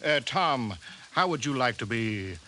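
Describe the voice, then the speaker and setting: An actor in a 1950s radio drama playing a fretful, eager-to-please small-town mayor who hesitates and repeats himself. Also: male voice, mid-range voice, expressive, unhurried. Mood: anxious, coaxing. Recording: hissy, bright 1950s radio recording.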